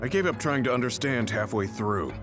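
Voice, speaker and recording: A man playing a gruff, doubtful, down-to-earth soldier in a video game. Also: medium pitch, lively intonation, brisk, natural voice. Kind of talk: scripted video game voice acting. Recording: long reverb with faint music.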